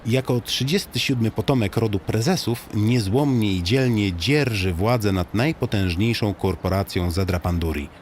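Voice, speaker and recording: A man's voice: lowish voice, normal speed, hardly any pauses, darkish tone, slight background noise. A man narrating a comic audiobook as a guide addressing the listener, in a tongue-in-cheek, mock-solemn storytelling manner.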